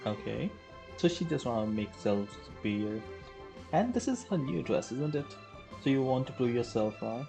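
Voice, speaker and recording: A woman commenting her way through a game on a live stream. Her voice sits very low with a gravelly, creaky edge, and she thinks aloud in a loose, relaxed way. Game music plays behind her. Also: moderate pace.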